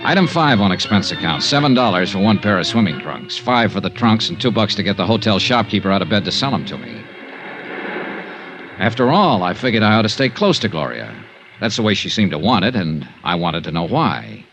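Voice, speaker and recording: An actor playing a wry, tough investigator, dry and clipped, narrating and acting in a 1950s radio drama. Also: male voice, fairly low pitch, big pitch swings, brisk, over music. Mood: wry narration. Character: wry, tough hard-boiled male investigator. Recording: muffled, noisy old radio recording.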